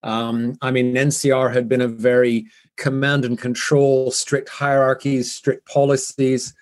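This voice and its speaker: An older man from Canada with a softened Canadian accent, speaking as a guest in a business interview in a measured way with frequent ums. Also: mid-range voice, level tone.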